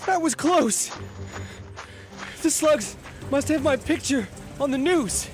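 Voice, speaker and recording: A man's voice: very high voice, animated, slow, heavily acted. An actor playing an anxious eighteen-year-old boy on the run in an audio drama. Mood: tense, thinking.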